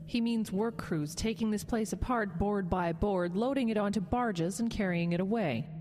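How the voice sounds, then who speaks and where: An actress playing a wary, skeptical ministry official with a brisk, pointed manner in a radio drama. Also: female voice, medium pitch, darkish tone, slight background noise, close to an everyday voice. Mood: businesslike.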